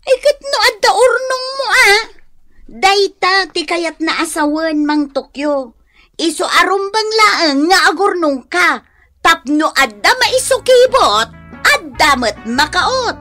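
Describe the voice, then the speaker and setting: A woman's voice: extremely high pitch, expressive, slow pace, sharp tone, soft background music, exaggerated voice. A woman playing a scolding but kind-hearted village shopkeeper in a radio drama, with a Filipino accent and a chiding, exclamatory manner. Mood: kindly advising. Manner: chiding, exclamatory, motherly advice-giving. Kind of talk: Ilocano radio drama acting.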